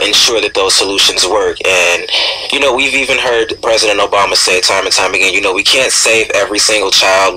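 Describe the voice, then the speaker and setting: A man with a bright, forward voice pitched a little low hosts a radio interview. He talks at length, halting and searching for his words. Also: slight background noise, poor audio. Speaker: radio show host, interviewer. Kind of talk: conversational radio interview questioning.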